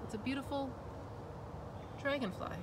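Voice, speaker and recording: A woman's voice: sits high, animated, normal speed. A woman in her thirties giving a cheerful, easygoing morning update outdoors in the woods. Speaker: co-host. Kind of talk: casual vlog chat. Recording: outdoor camera mic with clear background noise.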